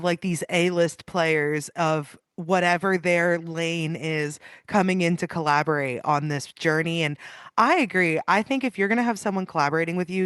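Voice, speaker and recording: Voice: mid-range voice, fairly bright tone, clean tone, no echo, clean studio sound. A podcast host asking questions in an enthusiastic, chatty way, with lots of "like". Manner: enthusiastic, chatty, heavy use of "like". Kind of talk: casual interview talk.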